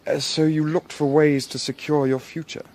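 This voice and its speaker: A man's voice: on the high side, flat delivery, darkish tone, slight background noise, close to an everyday voice. Male actor for young Victorian Englishman, period audio drama. Shrewd, discreet, formal and drily ironic. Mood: dry, assured. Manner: measured, formal, dryly ironic.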